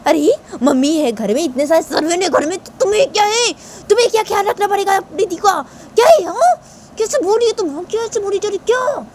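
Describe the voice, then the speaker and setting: A woman's voice: very high-pitched, a little mellow, slight background noise, voice pushed up. A woman voicing an angry, stubborn young woman in a story, scolding insistently and repeating herself.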